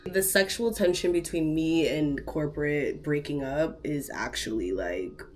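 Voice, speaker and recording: A woman's voice: low voice, measured pace. A young woman with a stuffed-up nose chatting casually to her vlog camera at home, playful and full of slang. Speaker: vlogger and podcast host. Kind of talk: casual day-in-the-life vlog chat. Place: apartment living room, indoors. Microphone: camera on a tripod.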